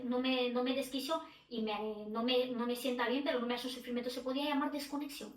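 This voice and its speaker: A woman's voice: high voice, fairly bright tone. A middle-aged woman from Romania, a guest in a podcast conversation, talking in a reflective way with many restarts and fillers. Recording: echoey room, distant mic.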